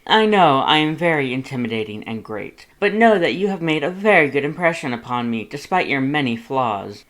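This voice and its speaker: A man's voice: medium pitch, sing-song, fairly quick, fairly bright tone, voice pushed up. A man voicing a pompous, dramatic male skeleton with a grandiose, formal, over-enunciated way of talking. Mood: grandiose, cheerful.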